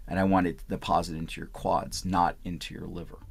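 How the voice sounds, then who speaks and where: Man, very low pitch, from India, physician, explanatory interview answers. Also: flat delivery, fast, creaky, husky. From India, Punjab. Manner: explanatory, repeats "I want" phrases.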